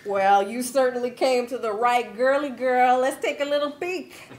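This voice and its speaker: A woman playing a bossy, sharp-tongued, mocking mission control boss in a comic screenplay table read. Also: high-pitched, moderate pace, close to an everyday voice. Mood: mocking disbelief. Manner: brash, scolding, theatrical. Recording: table read with background noise.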